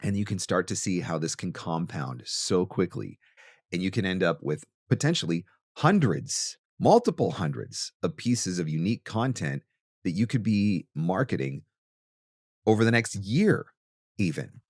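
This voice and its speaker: A man's voice: low voice, very animated, normal speed, no room sound, studio quality. A man hosting a podcast in a conversational coaching style, emphatic, repeating phrases for weight and asking rhetorical questions. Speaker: podcast host and voice-over coach.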